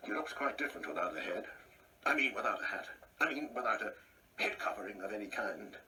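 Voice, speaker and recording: A man's voice: fairly low pitch, animated, fast talker, sharp tone, distant mic. An actor playing a proud, insecure older man in a radio play, speaking in a pompous, precise, theatrical way. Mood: rambling, defensive. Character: proud, insecure ageing man, bitterly reminiscing and taunting.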